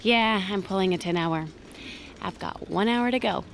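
An actress playing a tired, wry young nurse in naturalistic, conversational audio drama dialogue. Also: female voice, medium pitch, normal speed, vocal fry, a little hiss or hum, lightly acted. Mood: matter-of-fact, tired.

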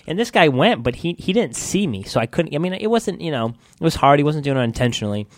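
Lively, quick man in a dry room. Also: fairly high pitch, dry recording. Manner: halting, restarts a lot when telling stories. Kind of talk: casual chat.

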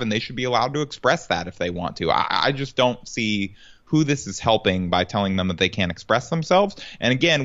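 Low-voiced man on a dull lo-fi line. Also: a bit bright. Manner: fast, loose chat full of "like" and false starts. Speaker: podcast co-host. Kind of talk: casual sports talk.

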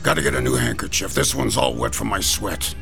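A folksy sweaty gravestone carver, gravelly. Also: male voice, fairly low pitch, sing-song, a little fast, sharp tone, soft background music, full character voice. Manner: folksy, chatty, sighing about the heat. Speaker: stonecutter. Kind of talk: classic radio drama acting.

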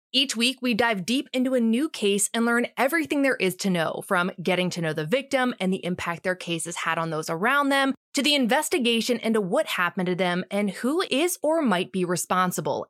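A woman hosting a podcast delivers a scripted promo with casual, direct address. Her voice is on the higher side and recorded very dry. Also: fairly bright tone, no room sound. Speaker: podcast host.

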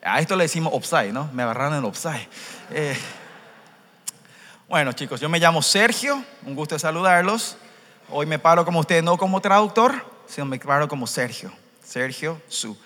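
A young man with a Paraguayan Spanish accent talks to a youth rally, his voice pitched a little high and bright. He speaks in a relaxed, chatty way, ending phrases with a questioning tag. The sound carries a long reverberant hall tail. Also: moderate pace. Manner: conversational, tags lines with "¿no?".

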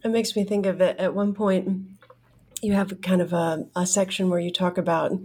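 A woman's voice: lowish voice, fairly even intonation, normal speed, mellow, husky. A woman interviewing an author in a chatty, self-correcting way. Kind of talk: conversational interview.

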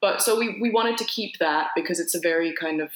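A woman's voice: on the low side, a little fast, echoey room, low-quality recording. A woman from Finland, an actor, chatting casually in an interview, rambling with many restarts and fillers. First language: Finnish. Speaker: actor guest.

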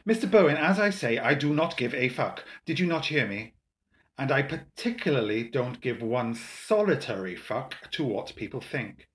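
A man plays an arrogant young English gentleman from a storybook. He is theatrically scornful and full of mischief, leaning on his words in a slow, mocking drawl. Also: medium pitch, very animated, roomy sound. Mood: haughty, defiant. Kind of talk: audiobook character dialogue.

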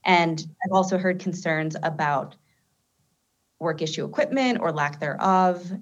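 A woman reporter speaking on a radio panel, steady and informative about what she has heard. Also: low voice, muffled recording.

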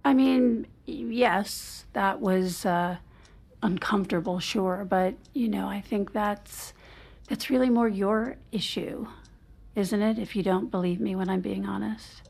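A woman's voice: medium pitch, unhurried, gravelly, darkish tone, slightly rough. An actress playing a guarded, strained wife and mother in naturalistic audio drama, speaking conversationally with some hesitancy. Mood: defensive.

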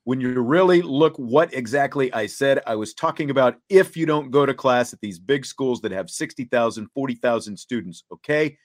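A man hosting a sports talk show, reading and commenting in a deliberate way, laying out points step by step. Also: mid-range voice.

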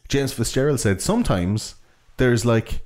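A man's voice: medium pitch, expressive, a little slow, a little mellow. A young man with an Irish accent, chatting casually and explaining things in an enthusiastic, rambling, sweary way.

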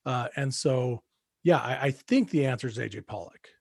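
A man co-hosting a casual sports podcast, giving measured, thoughtful analysis with hesitant restarts. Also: medium pitch, expressive, gravelly, darkish tone, breathy edge, dry recording, studio quality.

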